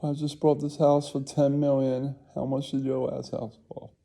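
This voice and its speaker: A man's voice: medium pitch, flat delivery, moderate pace, creaky, dark tone, pitched above the performer's own voice. A man voicing a boastful, petty rich airline owner who taunts and bickers in repetitive one-upmanship, in an improvised comic puppet sketch. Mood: boastful, combative.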